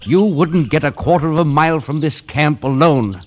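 A man's voice: fairly high pitch, expressive, moderate pace, dull audio, over loud music, natural voice. A man playing a stern, principled expedition leader in an old-time radio drama, urgent and scolding.

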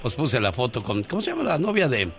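A man's voice: mid-range voice, quick pace, over a noisy crowd. A man with a Mexican accent hosting a radio show, joking and teasing as he reads listener messages. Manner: joking, teasing, animated storyteller. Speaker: radio host. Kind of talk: comic radio banter, reading listener messages.

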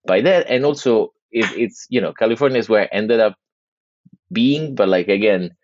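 Italian man, 30s, very low voice, hesitant podcast guest, muffled dry audio. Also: normal speed, a little mellow, dry recording. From Italy. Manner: hesitant, many restarts and fillers. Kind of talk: casual interview conversation.

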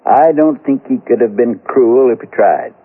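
An actor playing a folksy former frontier sheriff with an American accent, telling a grisly tale in a rustic drawl in a radio western. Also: male voice, medium pitch, measured pace, natural voice. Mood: grim storytelling. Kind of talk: 1970s radio western acting. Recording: muffled, phone-like old radio recording.

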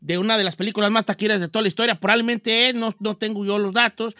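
A high-voiced man hosts, his tone bright and crisp. He rambles casually and jokes, full of fillers. The sound is dry and close. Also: fairly even intonation, quick pace, no room sound. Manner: rambling, joking, many fillers. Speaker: radio host. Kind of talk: casual chat.

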